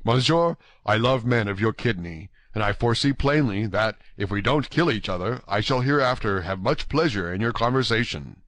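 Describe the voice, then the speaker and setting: Male reader as courtly storybook musketeer, wry and formal, fairly deep, measured, in a dry recording. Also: lively intonation, fairly bright tone, no room sound. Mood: gracious, approving. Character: gracious, dignified, wry wounded gentleman musketeer. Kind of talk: audiobook character dialogue.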